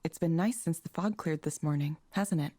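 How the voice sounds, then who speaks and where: Composed, mellow-voiced counsellor. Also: female voice, low voice. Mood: polite. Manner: measured, neutral, professional. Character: polite, composed young woman counsellor reading a script. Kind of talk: visual novel game voice acting.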